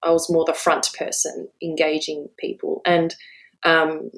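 A woman's voice: sits low, completely flat delivery, measured pace, rough recording. A woman, telling her life story as an interview guest in a chatty way, with frequent laughs and fillers. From Australia. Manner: warm, chatty, laughs often, many fillers. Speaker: guest, business owner.

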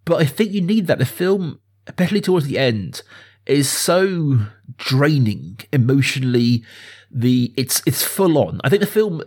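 A man's voice: mid-range voice, big pitch swings, moderate pace, a little mellow, breathy edge. A man in his thirties hosting a casual film discussion, speaking enthusiastically and emphatically, building points through repetition. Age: 30s. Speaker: film podcast host.

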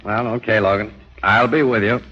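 An actor playing a shrewd, easygoing crime photographer in a 1940s radio drama. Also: male voice, on the low side, fairly even intonation, a little fast, natural voice. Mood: musing. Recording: dull old radio recording with music.

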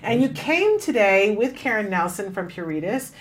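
A woman's voice: mid-range voice, very animated, moderate pace. Woman hosting an interview, emphatic. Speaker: host.

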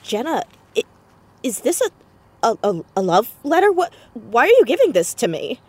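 Headstrong teen girl sleuth in an audio drama, slightly high husky voice, super animated, gets flustered. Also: normal speed, heavily acted. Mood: flustered surprise. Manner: excitable, stammering when flustered, expressive. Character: earnest, headstrong, romantic fourteen-year-old girl amateur sleuth. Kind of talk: naturalistic audio drama acting.

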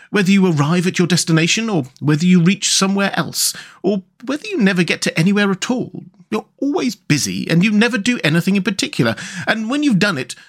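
A man's voice: high voice, vocal fry, pitched above the performer's own voice, full character voice. A man voicing a cheerful, dreamy, boat-loving water rat from a storybook, chatty and rambling with enthusiasm.

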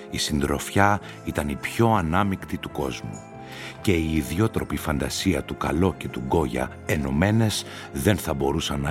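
An extremely deep male voice narrates a literary tale for radio. He tells it in a measured, deliberate way, with music behind him.

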